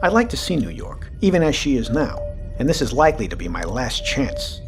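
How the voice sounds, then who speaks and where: An actor playing a calm, seasoned submarine captain, commanding and reassuring, in an audio drama. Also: male voice, mid-range voice, brisk, over music. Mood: measured, resolute.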